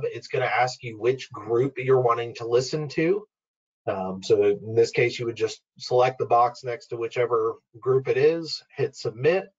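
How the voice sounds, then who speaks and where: A man presenting an informal, step-by-step software walkthrough in an explanatory, instructional way, as a webinar trainer. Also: mid-range voice, dull audio. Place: online webinar screen-share.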